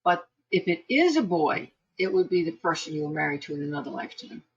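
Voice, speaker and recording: A woman's voice: fairly low pitch, big pitch swings, fast talker, husky, highs cut off. Chatty laughing woman reading charts. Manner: chatty, laughs often, many restarts. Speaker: psychic astrologer giving live readings. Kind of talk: live online chart reading.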